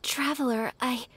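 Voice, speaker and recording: An actress playing a gentle, dutiful young noblewoman in a video game, speaking in a soft, polite, hesitant way. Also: sits high, slightly rough, exaggerated voice. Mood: shy, nervous.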